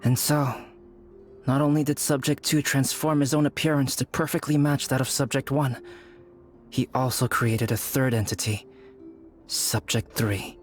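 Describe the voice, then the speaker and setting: An actor playing a calm, pensive young alchemist in a game, speaking in a grave, deliberate, storytelling way over music. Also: male voice, mid-range voice, close to an everyday voice. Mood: measured, ominous. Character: calm, pensive young male alchemist telling a dark tale. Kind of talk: measured game dialogue storytelling. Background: background music.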